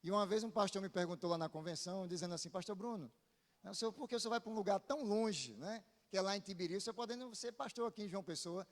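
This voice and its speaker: High-voiced northeastern Brazilian preacher in reverberant hall. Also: male voice, fast talker. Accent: northeastern Brazilian, from Paraíba. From Paraíba, northeastern Brazil. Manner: informal, often seeks response with short tag questions. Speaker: visiting Baptist pastor preaching. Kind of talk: sermon to a congregation. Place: church pulpit, amplified in a large hall. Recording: long reverberant hall sound.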